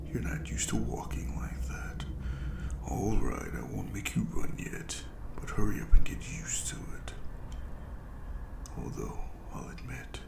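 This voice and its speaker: A cruel male monster, acted by a man, gloats over its prey in a breathy half-whisper. The delivery is very slow and taunting, with big swings in pitch and mock sympathy. It is an audio roleplay with a roomy echo on the voice. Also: exaggerated voice. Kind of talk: scripted audio roleplay addressed to the listener.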